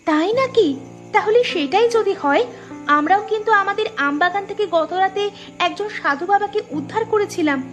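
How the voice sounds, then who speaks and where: A woman's voice: extremely high pitch, normal speed. A woman voicing a poor, plucky young village woman in a cartoon story. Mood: earnest, indignant. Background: background music.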